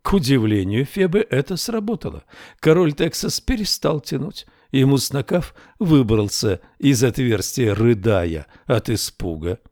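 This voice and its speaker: Slow, measured male audiobook narrator. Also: mid-range voice, very animated, darkish tone, dry recording, clean studio sound. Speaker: audiobook reader. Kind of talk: scripted narration.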